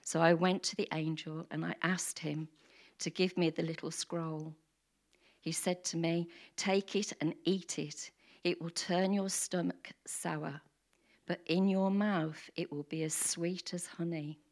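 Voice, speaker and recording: Slow, croaky-voiced woman reading. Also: fairly low pitch, fairly even intonation, a little mellow. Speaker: congregation member reading scripture. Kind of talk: reading scripture aloud.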